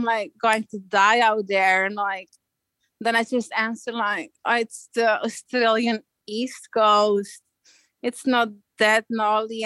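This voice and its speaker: A woman with a Belgian accent speaks as a guest in a relaxed interview. Her talk is informal and full of surf slang, told in a conversational storytelling way. The sound is dry, and her pitch stays within a fairly narrow range. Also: no echo. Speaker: podcast guest, amateur big wave surfer.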